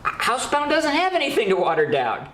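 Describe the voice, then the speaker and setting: Animated, emphatic horror show co-host. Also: fairly quick. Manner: emphatic, repeats words for effect. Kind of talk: opinionated casual commentary. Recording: roomy echo, background rumble noise.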